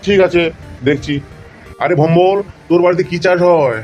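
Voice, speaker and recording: A man's voice: high-pitched, moderate pace, a little mellow, soft background music, lightly acted. A man voicing a bossy, patronizing schoolteacher in an amateur animal cartoon comedy. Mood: approving.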